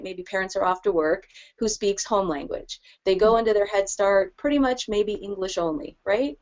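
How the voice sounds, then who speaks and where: A woman's voice: medium pitch, a little mellow, highs cut off. A woman presenting practical advice in a webinar, friendly and explanatory, with light laughs. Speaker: early childhood language specialist presenting.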